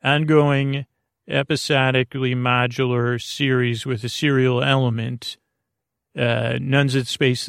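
Creaky-voiced man rambling a bedtime monologue. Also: mid-range voice, no echo, clean studio sound. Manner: meandering, halting, loses his thread and circles back. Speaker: podcast host.

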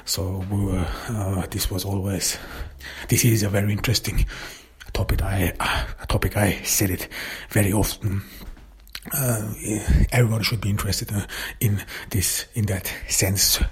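Halting low-voiced German man, husky. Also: fairly even intonation. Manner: halting, many fillers and restarts. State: recovering voice after illness. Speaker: podcast host and author. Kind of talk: casual solo podcast talk.

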